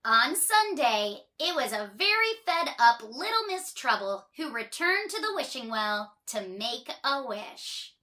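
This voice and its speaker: A woman reads a picture book to children in a high, girlish register with big swoops of pitch. Her delivery is unhurried and expressive, leaning on words for emphasis. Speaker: children's storybook reader. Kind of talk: animated read-aloud storytelling for children.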